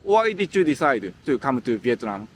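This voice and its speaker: Lively male street interviewer. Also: medium pitch, normal speed, slight background noise. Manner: upbeat, short questions. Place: beach outdoors.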